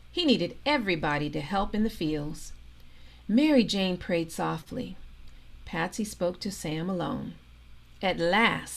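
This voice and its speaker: A woman reads a children's story aloud at a slow, deliberate pace. Her pitch moves in lively swings around a middle register, and she sets off key phrases with emphatic pauses. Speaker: storybook reader, organisation president.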